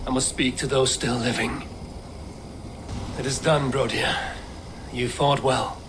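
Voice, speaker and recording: An actor playing a steady, honorable Norse warrior leader in a game, measured and earnest. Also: male voice, mid-range voice, noisy background, close to an everyday voice. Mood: calm. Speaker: Viking protagonist Eivor.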